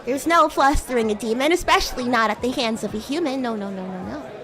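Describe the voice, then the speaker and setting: Woman voicing a high-pitched bratty young demon girl. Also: quick pace, crowd noise, lightly acted. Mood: smug, flustered. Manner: teasing, stammering, defensive. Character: bratty, flustered young female demon classmate, playfully haughty. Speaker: VTuber streamer. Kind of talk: improvised livestream roleplay.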